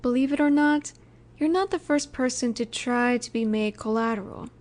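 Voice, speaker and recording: Soft, mellow, high-voiced female mafia boss acted by a woman. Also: close to an everyday voice. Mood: calm, reassuring. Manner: soft, unhurried, soothing yet in command. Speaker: audio roleplay voice actor. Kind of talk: intimate scripted audio roleplay, addressed to listener.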